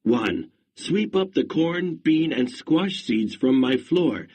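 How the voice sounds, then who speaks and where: A man's voice: fairly high pitch, very animated, takes it slowly, a little mellow, slightly rough, heavily acted. A man voicing a village shop owner in a storybook read-along, spelling out his terms. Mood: bargaining. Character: gruff male village shop owner, demanding.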